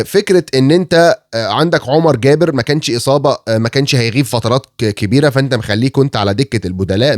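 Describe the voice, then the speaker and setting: A man's voice: mid-range voice, darkish tone, dry recording, clean studio sound. A man with an Egyptian Arabic accent and a cold, giving a critical casual monologue about football, with many filler sounds and restarts. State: has a cold, hoarse. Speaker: football commentator.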